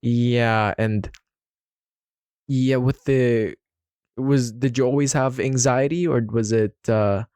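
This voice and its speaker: A man's voice: medium pitch, slow pace, pauses often, smooth, dry recording, studio quality. Laid-back young male podcast host. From Philippines. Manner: relaxed, frequent "yeah" backchannels. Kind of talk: casual conversational interview.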